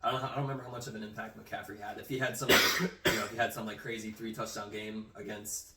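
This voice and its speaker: A young man talking sports fast, rambling through his points with plenty of fillers. His voice sits lowish and sounds roomy, picked up from a distance. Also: level tone, heavy background noise. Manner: quick, rambling, lots of "like" and "you know". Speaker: co-host. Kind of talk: casual sports chat.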